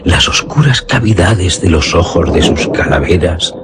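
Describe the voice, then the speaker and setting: A man's voice: low-pitched, expressive, unhurried, very noisy. A man narrating a scripted gothic horror tale in a solemn, suspenseful, literary delivery. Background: music under the voice.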